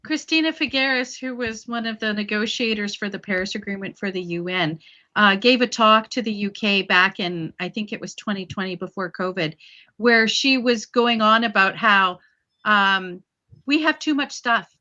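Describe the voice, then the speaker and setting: A woman's voice: medium pitch, normal speed, pushed and bright. A woman co-hosting a podcast panel, incredulous and mocking as she retells something she heard. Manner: incredulous, mocking, laughs at the absurd. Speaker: podcast co-host. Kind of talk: casual panel chat. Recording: dull lo-fi mic.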